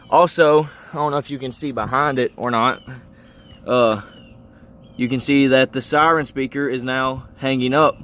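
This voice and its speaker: A man giving a casual, unscripted show-and-tell vlog, informal and thinking out loud as he goes. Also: medium pitch, clean tone. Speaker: car vlogger.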